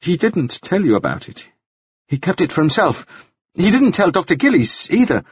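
A male narrator lifts his voice high to play a jittery, flustered dandy who stammers and pleads in a thin, anxious tone. His husky voice swings about a lot, heard through a dull, lo-fi recording. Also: very animated, moderate pace, no room sound, full character voice. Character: nervous, flustered dandy man.

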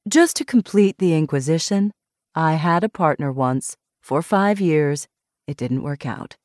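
A woman voicing a sensible, acid-tongued woman friend in a novel, dry and measured. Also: low voice, expressive, a little mellow, no echo. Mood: matter-of-fact.

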